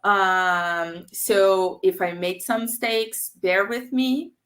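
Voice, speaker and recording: A woman's voice: medium pitch, steady tone, slow, fairly bright tone. A woman from Brazil, a native Portuguese speaker, talking as a product manager guest on a video call. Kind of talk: casual webinar chat.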